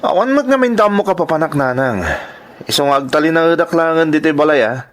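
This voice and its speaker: A man playing a scheming, lovestruck young man in an audio drama, with an animated delivery. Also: a little high, brisk, a little hiss or hum, lightly acted. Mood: evasive.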